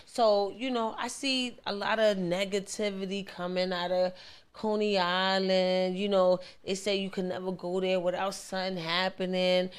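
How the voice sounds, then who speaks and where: A woman's voice: medium pitch, level tone, moderate pace. A woman hosting a casual, hyped radio interview, slangy and emphatic, often repeating her phrases. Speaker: radio show host.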